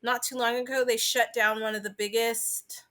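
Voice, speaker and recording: A woman co-hosting a podcast in casual chat, halting and leaning often on filler words. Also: fairly high pitch, level tone, pushed and bright. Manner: halting, filler-heavy with frequent "like". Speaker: podcast co-host.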